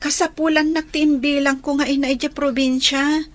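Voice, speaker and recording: A woman plays a young stepdaughter in an Ilocano radio drama. Her voice is high, and she speaks gently and respectfully. She comes across as earnest, anxious and pleading. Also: flat delivery, moderate pace, close to an everyday voice.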